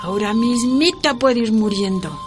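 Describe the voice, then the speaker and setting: Woman acting a frail old Andean woman, Ecuadorian accent, very lively intonation, slow pleading delivery, tender, defiant, radio drama with faint music. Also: fairly high pitch, close to an everyday voice. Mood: weary, tender. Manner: slow, affectionate, pleading, full of diminutives.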